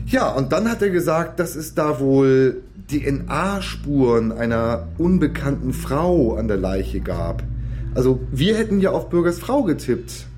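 An actor playing a naive-seeming, quietly sly amateur sleuth in a German radio crime drama, talking in a halting, colloquial way. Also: male voice, mid-range voice, close to an everyday voice. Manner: colloquial, halting, mock-casual.

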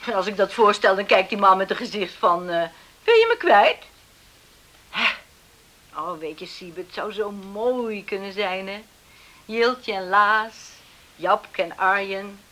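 A woman's voice: mid-range voice, measured pace, bright, forceful tone. A woman playing a worried, middle-aged widowed farm mother in a Dutch radio drama, earnest and confiding. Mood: agitated. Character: worried widowed farm mother dreaming of settled married sons.

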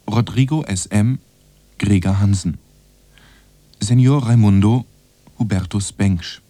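A man's voice: fairly low pitch, takes it slowly, dark tone, no echo. A man giving a formal, scripted announcement of radio play credits.

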